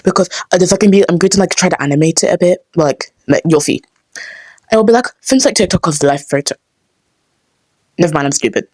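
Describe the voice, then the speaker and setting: A woman's voice: on the low side, fairly quick, a little mellow, breathy edge, dry recording. A woman giving casual behind-the-scenes commentary, muttering asides to herself as she works. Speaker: amateur voice actor and vlogger.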